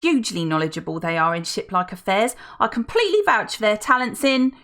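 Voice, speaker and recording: A woman reading aloud voices an effusive, chatty woman who talks without pause and exclaims often. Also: expressive, a bit bright. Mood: gushing. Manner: effusive, exclaiming, high-spirited. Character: gushing chatty adult woman, talks nonstop.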